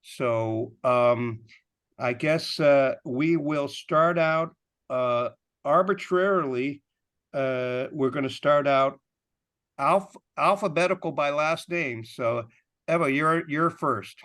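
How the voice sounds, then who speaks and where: Halting male panel moderator. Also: a little high, fairly bright tone. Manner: halting, many uh fillers and restarts. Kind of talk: hesitant spoken introduction. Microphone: video call.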